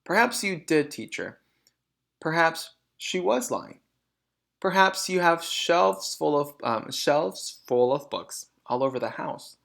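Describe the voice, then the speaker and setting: A man's voice: a little high, very animated, slow, creaky, a bit bright, pitched above the performer's own voice, exaggerated voice. A man reads as a polite lady schoolteacher from a storybook. The delivery is earnest and restrained, with questioning, varied intonation. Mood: probing.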